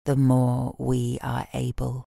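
British woman, meditation guide, very slow delivery, dark mellow tone, soft, calm, soothing, long pauses. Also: a bass voice, vocal fry, no room sound, clean studio sound. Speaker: yoga nidra meditation guide.

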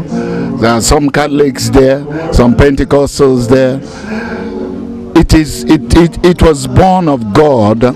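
An older man with a Nigerian accent speaks slowly into a handheld microphone outdoors, with music behind him. He gives his testimonial like a clergyman, deliberate and emphatic. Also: medium pitch. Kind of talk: interview testimonial. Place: outdoors beside a car in a courtyard. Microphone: handheld interview microphone held close. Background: background music.

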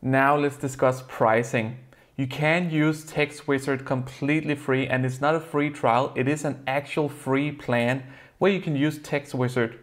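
A young man with a Danish accent, a native Danish speaker, earnestly explaining a software review to camera from a desk in a plain room. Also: mid-range voice, fairly even intonation, a little slow. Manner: earnest, explanatory, slightly non-native phrasing.

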